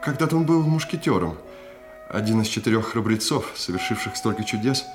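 Casting: man as restrained, uneasy young royal impostor for radio play; lowish courtly voice under loud music. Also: lively intonation, brisk, close to an everyday voice. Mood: nervous, controlled. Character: secret twin prince posing as king, restrained, nervous, dignified. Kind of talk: Russian radio play acting. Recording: loud orchestral music behind.

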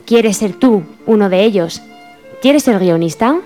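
A woman from Spain narrates a radio drama from a script, with a Spain accent. Her pitch is average, and soft music plays faintly underneath. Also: expressive, unhurried. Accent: Spain Spanish.

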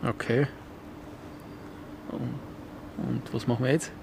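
An actor playing a guarded, hesitant closeted man in a naturalistic radio drama, speaking in a hushed way. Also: mid-range voice, little pitch movement, slow, vocal fry, noisy background. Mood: nervous, guarded.

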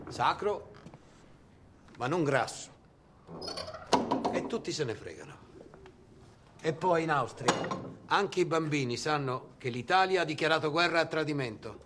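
A man's voice: fairly high pitch, noisy background, lightly acted. A man performing a dramatic war monologue as a theatrical, bitterly ironic storyteller. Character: bitter, sardonic male storyteller of war captivity.

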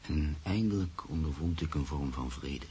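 An actor playing a grave, reflective man who narrates his own story in a radio drama, gravelly and measured. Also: extremely low pitch, dark tone. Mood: grave, tender. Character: Freek, reflective grave man, narrator-protagonist moved by loss. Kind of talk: literary radio drama monologue. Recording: faint background noise.

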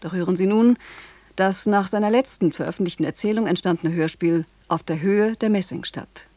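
A woman's voice: mid-range voice, fairly quick, warm tone, no echo. A woman presenting a scripted radio introduction in an informative announcer's manner.

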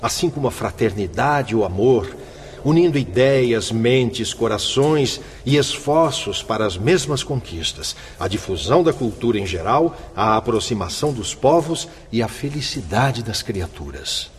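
An actor playing a learned, idealistic spirit instructor with a Brazilian accent, formal and declamatory in a radio drama. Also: male voice, medium pitch, expressive, measured pace, slight background noise, close to an everyday voice. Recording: long hall reverb, background ambience.

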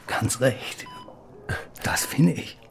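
Hard-line police officer, average pitch, self-assured, confrontational, plain-spoken, audio drama. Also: male voice, big pitch swings, drawn-out words, gravelly, faint music behind, exaggerated voice. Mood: blunt, combative. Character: hard-line, combative policeman challenging the sheriff.

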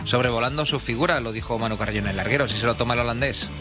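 A man's voice: medium pitch, rapid-fire, music in the background. A man with an accent from Spain delivering a radio sports bulletin as a reporter.

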